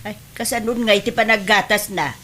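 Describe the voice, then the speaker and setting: A woman's voice: medium pitch, steady tone, brisk, fairly bright tone, slight background noise, lightly acted. A woman with an Ilocano Filipino accent playing a dismayed, lamenting grandmother in an audio drama. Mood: dismayed, scolding. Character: dismayed, lamenting mother of a grown son, a grandmother.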